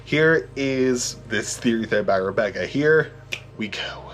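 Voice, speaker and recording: A man's voice: expressive, measured pace, a bit bright. A man hosting a casual fan-theory video, upbeat and chatty, with a stuffed-up, congested voice. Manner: upbeat and chatty, swooping pitch. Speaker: YouTube channel host.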